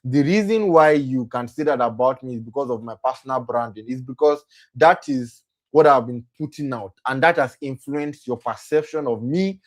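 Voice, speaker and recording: A man's voice: medium pitch, normal speed. A young man hosting a motivational session for young people. He often repeats himself, corrects himself as he goes, and keeps checking in with "right?". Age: young adult. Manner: repetitive, self-correcting, often asks "right?". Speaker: program host and speaker.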